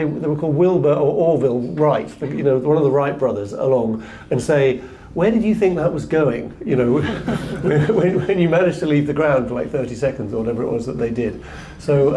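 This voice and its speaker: A man's voice: mid-range voice, dark tone, slight background noise. A man speaking on a tech panel, self-deprecating and full of restarts and repeated words. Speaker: panelist, CTO. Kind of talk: casual panel talk.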